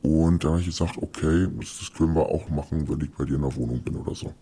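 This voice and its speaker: A man in his early thirties, voice disguised by distortion, speaking in a measured, self-questioning way in a documentary interview. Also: extremely low pitch, dark tone, breathy edge. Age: early 30s.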